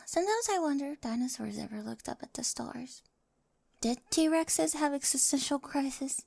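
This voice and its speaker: Woman acting a high-voiced, awkward, eager teenage girl. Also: lively intonation, moderate pace, gravelly, darkish tone, dry recording. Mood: soft, wistful. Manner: nervous rambling, stammering, self-deprecating. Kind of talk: ASMR roleplay acting, addressed to the listener. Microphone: close microphone, dry.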